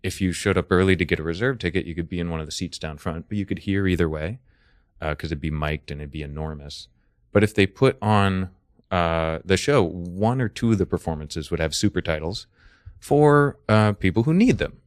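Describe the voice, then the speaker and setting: A man's voice: low-pitched, fairly quick, no echo, studio quality. An American man from Texas talking casually as a podcast guest, explaining things thoughtfully. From Texas, United States.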